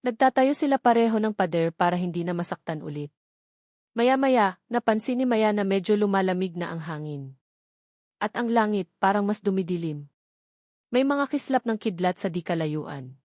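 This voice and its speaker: A woman narrates an audio romance in a scripted, dramatic storytelling style. Her pitch sits in the middle range for a woman. The recording is very dry, with no room sound. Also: animated, normal speed, a little mellow, dry recording.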